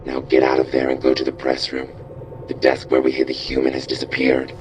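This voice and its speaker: A man voicing a mysterious robot informant in a video game, secretive and measured as he gives instructions. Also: rumbling low, monotone, lo-fi sound, noisy background. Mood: cool, instructing. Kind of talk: video game character acting.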